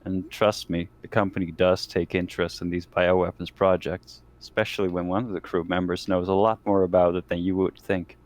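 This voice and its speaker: Really deep voiced guy playing an rpg, blunt, swears a lot, casual. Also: little pitch movement, fairly quick, few pauses, a little mellow. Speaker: tabletop roleplaying player. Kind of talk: casual in-character table chat.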